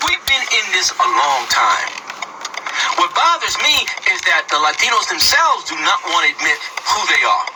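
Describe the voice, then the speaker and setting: A man's voice: high voice, very animated, moderate pace, heavy background noise. A man speaking passionately on radio, mimicking other voices as he makes his points. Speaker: guest speaker. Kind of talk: impassioned radio talk. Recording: distant mic, loud music behind. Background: loud music.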